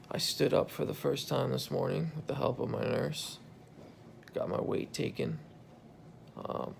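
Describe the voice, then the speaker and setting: A man in his thirties with a hoarse, croaky voice after intubation, speaking tiredly into a phone held at arm's length in a hospital room. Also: a little mellow, slight background noise. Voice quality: gravelly, croaky. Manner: tired, low-key, relieved. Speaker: patient recording a video diary. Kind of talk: casual video journal.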